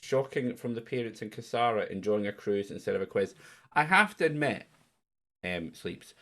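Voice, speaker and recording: A man hosting a livestreamed quiz in a chatty, teasing way. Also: moderate pace. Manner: teasing, chatty, lively jumps in pitch. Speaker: quiz host. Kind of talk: casual livestream chat.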